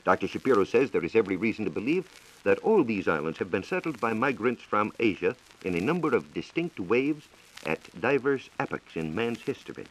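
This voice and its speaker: American man, low voice, animated intonation, formal, explanatory, 1940s radio science talk. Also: fairly quick, a little hiss or hum, rough recording. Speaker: science reporter.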